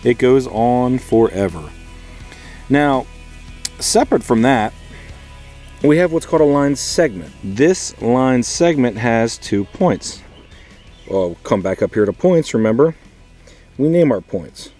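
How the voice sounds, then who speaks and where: A man's voice: medium pitch, lively intonation, takes it slowly, long pauses, warm tone, soft background music. An American man teaching an informal math lesson as a schoolteacher, repeating key points and asking checking questions. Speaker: fourth grade math teacher.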